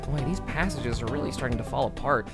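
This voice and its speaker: A man's voice: mid-range voice, fast talker, loud music behind the voice, lightly acted. A man reading as a sleepless, brooding young woman. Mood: melodramatic. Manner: melodramatic, menacing read of game text. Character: sleep-deprived young woman turned would-be killer.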